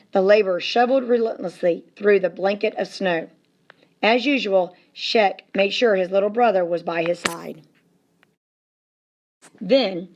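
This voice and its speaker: Woman, storybook narrator, expressive read-aloud, deliberate, dramatic, medium pitch. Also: dull audio. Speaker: storybook reader.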